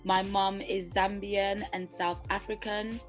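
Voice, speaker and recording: A woman's voice: mid-range voice, little pitch movement, slow pace, faint music behind. A woman from London, born and raised there, speaking in an upbeat, casual way. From London, England. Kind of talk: video testimonial.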